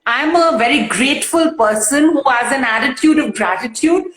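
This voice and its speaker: Bubbly, high-pitched woman guest; expressive, filler-heavy chat in a reverberant room. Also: normal speed. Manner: emotional and bubbly, laughs often, many fillers. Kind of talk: casual interview chat.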